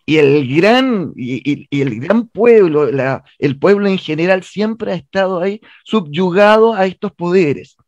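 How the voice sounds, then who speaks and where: A man's voice: high voice, animated, a little slow, few pauses, darkish tone, no room sound. A man with a Chilean accent giving a radio interview as a guest, speaking earnestly and emphatically, repeating words as he argues, on lo-fi audio. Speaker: theatre director, interview guest.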